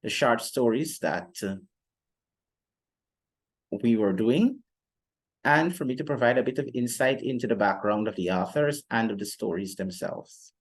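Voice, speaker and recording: A young man giving an explanatory lecture in a formal, deliberate manner, pausing often between phrases. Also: mid-range voice, expressive. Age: young adult. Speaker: literature teacher presenting a lesson. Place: indoor room, seated before a plain wall.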